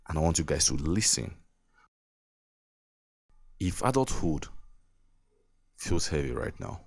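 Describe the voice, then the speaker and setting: Man, Nigerian accent, husky, dry close sound, repeats himself, motivational podcast host. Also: rumbling low, dry recording. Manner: earnest, repetitive, laughs between points. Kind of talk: motivational monologue.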